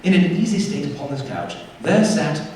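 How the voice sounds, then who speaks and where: A man playing the narrator of a Victorian ghost tale in a radio play, expressive and deliberate in his storytelling. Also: on the high side. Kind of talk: scripted theatrical narration. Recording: distant echoey hall, faint noise.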